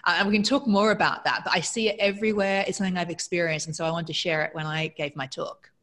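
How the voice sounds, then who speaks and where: A woman with a slightly low voice talks through her interview answers at a thoughtful, easy pace. She grew up in Australia, and she speaks fluently while often slipping "like" into her sentences. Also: steady tone, fast talker, fairly bright tone. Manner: reflective, fluent, frequent "like" fillers. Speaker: guest speaker.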